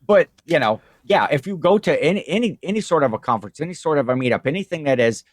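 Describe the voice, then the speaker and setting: A man's voice: fairly high pitch, expressive, fast, no room sound, clean studio sound. A man co-hosting a podcast, chatting casually and rambling with many fillers and restarts. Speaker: podcast co-host. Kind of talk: casual chat.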